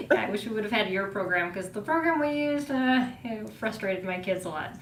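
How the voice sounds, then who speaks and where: A woman host who chats casually, laughs often and keeps affirming her guest. Her voice sits in a roomy, echoey space, with a faint rumble underneath. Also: animated, moderate pace. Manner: affirming, laughs often, many back-channel replies. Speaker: podcast host. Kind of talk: casual podcast conversation.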